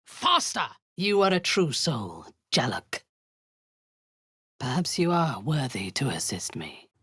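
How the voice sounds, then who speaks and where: An actress playing a cold, imperious female drow warlord in a video game, commanding and menacing, sometimes dropping to a husky whisper. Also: rumbling low, sing-song, slow, a bit bright, no echo, studio quality, full character voice.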